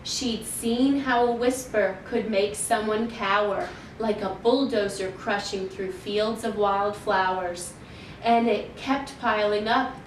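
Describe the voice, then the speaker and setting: A woman reading a rhyming picture book aloud to young children in a measured, deliberate storytelling manner. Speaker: children's library storytime reader. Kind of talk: expressive picture-book read-aloud in rhyme. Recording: roomy, distant mic, faint noise and rumble.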